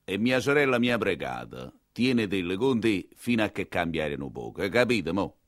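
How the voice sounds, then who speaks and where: A man's voice: low-pitched, very animated, normal speed, no room sound, clean studio sound. A man acting a pompous tipsy old cardinal. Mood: conspiratorial. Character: pompous, drunk old cardinal, grumbling and scheming. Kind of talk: theatrical monologue dialogue.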